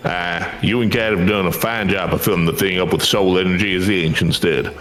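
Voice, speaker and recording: Give a gruff fantasy-game smith, acted by a man, pitched well down and blunt. He talks in rough, folksy turns of phrase, teasing as he goes, with music behind him. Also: fairly even intonation, brisk, a bit bright. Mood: exasperated, confident. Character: gruff, blunt male blacksmith, teasing and foul-mouthed.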